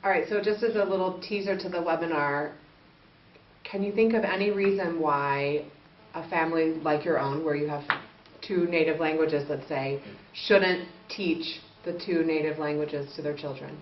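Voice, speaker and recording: A woman's voice: low-pitched, steady tone, normal speed. A woman hosting an interview, asking questions in a friendly, chatty way and laughing easily. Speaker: interviewer, host. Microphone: far from the mic. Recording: distant, echoey, muffled with hiss.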